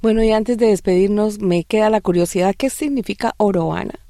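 A woman's voice: medium pitch, brisk, warm tone, no echo, clean studio sound. A woman hosting an interview, asking questions politely and encouraging her guest with short affirmations. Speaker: interviewer, host. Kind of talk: radio interview.

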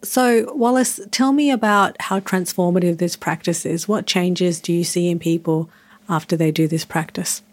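A woman's voice: lowish voice, fairly even intonation, moderate pace. A woman hosting a conversational interview. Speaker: interview host.

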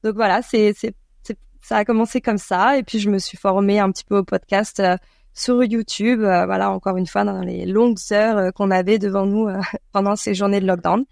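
A woman from France with a French accent, answering interview questions conversationally and thoughtfully, often pausing mid-sentence. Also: medium pitch, steady tone, creaky, a little mellow, no echo, clean studio sound. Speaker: podcast creator being interviewed.

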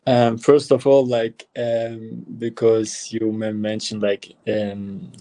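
Hesitant Albanian-accented man, low flat voice. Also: takes it slowly. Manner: hesitant, many fillers and restarts. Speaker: footballer guest. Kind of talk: casual interview answers.

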